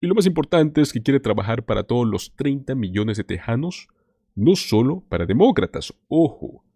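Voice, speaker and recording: Emphatic man with a lively, dark voice. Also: mid-range voice, normal speed, breathy edge, no echo, studio quality. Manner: emphatic, addresses viewers as "señores". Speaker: political commentary host. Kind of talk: scripted political commentary.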